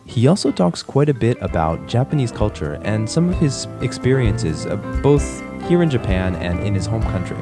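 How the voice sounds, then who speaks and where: Podcast host guy, low voice, kinda pausey, guitar music behind. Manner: relaxed, with frequent "uh" pauses. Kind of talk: introducing clips on a podcast.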